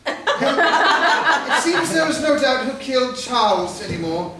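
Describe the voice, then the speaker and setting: A woman playing a hot-tempered, protective upper-class gentleman, declaiming in a staged reading of a period murder mystery. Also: high voice, sing-song, takes it slowly, a crowd in the background, heavily acted. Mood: outraged. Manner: blustering, declamatory. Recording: echoey room, distant mic, noisy.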